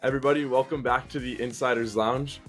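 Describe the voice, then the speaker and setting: A man with an average-pitched voice hosts a podcast. He interviews in a casual, conversational way, putting questions to his guest. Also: fairly even intonation, fast, fairly bright tone, soft background music. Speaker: podcast host.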